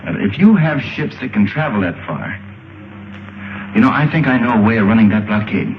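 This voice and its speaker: An actor playing an earnest, bold pilot hero in an old film serial, speaking politely and crisply. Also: male voice, on the low side, lively intonation, fairly quick, slight background noise, close to an everyday voice. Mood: earnest, determined. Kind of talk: 1930s film serial acting. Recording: muffled old film soundtrack, roomy, faint music.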